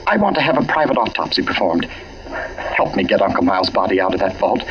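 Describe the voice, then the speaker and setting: A man's voice: deep voice, very animated, a little fast, crowd noise. A man playing an anxious, guilt-ridden husband in 1940s radio mystery style, speaking urgently and earnestly. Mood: urgent, insistent. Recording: dull, muffled old radio recording.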